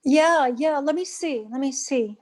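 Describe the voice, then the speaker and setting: A woman's voice: high voice, a little slow, a little mellow. A woman chatting casually as a participant in a group conversation. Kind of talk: casual chat.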